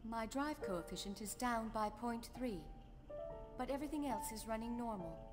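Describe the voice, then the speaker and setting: Woman doing calm android lady from a game, flat formal manner, long reverb, music behind. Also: fairly high pitch, natural voice. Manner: measured, formal, emotionless. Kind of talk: English video game voice acting.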